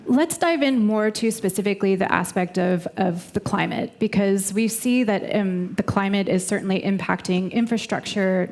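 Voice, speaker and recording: American woman, event host, mid pitch, friendly questions, onstage. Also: a little mellow. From United States. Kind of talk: onstage interview. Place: event stage before a live audience.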